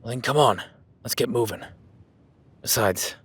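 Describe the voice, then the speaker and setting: A gruff cowboy hunter, voiced by a man, who barks orders and swears freely. His voice is husky and breathy, with a tight, tense edge to it. He drops to hushed whispers when stalking. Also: medium pitch, a little slow. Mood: determined. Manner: swearing, commanding, urgent. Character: gruff rugged cowboy hunter tracking a beast. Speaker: ranch hand tracking a beast. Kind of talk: audio roleplay.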